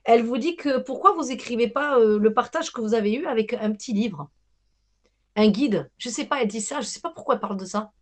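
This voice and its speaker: A woman's voice: on the high side, expressive, a little fast. A woman giving a live medium reading to callers over a video call, hesitant and reassuring, often repeating herself.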